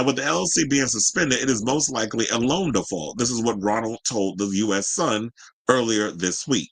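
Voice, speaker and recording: A man's voice: medium pitch, sharp tone, husky, low-quality recording. An American man hosting a celebrity news show, reading stories out and breaking off into sassy, colloquial, casual asides. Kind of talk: casual gossip commentary mixing read-out news with ad-libbed asides.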